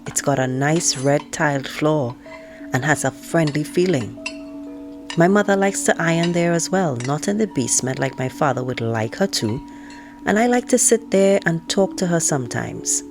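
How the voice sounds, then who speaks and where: An outspoken teenage girl voiced by a woman reader tells her family story in a low-pitched voice. She is frank, opinionated and indignant, with a sarcastic, conversational edge, as in an audiobook. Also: lively intonation, moderate pace, over music. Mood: frank, musing. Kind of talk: first-person audiobook read-aloud.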